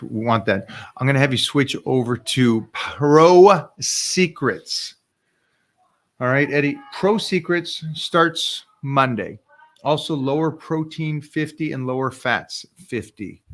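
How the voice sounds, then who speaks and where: A man coaching viewers on a live stream, energetic and emphatic. He keeps tripping over repeated words when he gets excited. His voice sits in an ordinary middle register. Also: medium pitch. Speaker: fitness coach host.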